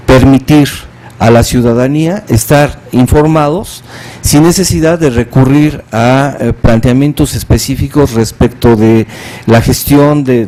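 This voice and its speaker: A man talking on a conference panel at an everyday middle pitch. He speaks in a measured, thoughtful way, pausing often on fillers as he builds his points. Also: dark tone, rough recording. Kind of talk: academic panel discussion. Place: conference hall.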